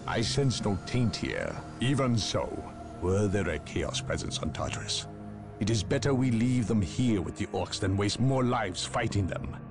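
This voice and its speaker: A man's voice: on the low side, animated, measured pace, over music. An actor playing an arrogant male inquisitor, speaking with clipped, cutting, condescending politeness. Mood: cold. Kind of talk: video game cutscene acting.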